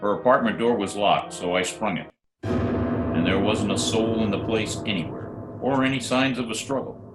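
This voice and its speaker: A man's voice: fairly low pitch, moderate pace, natural voice. An actor playing a wry, hard-boiled private detective who narrates his own case in an old-time radio drama. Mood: grim, determined. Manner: wry, deadpan wisecracking. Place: at home, recorded remotely. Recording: roomy remote home recording, music behind.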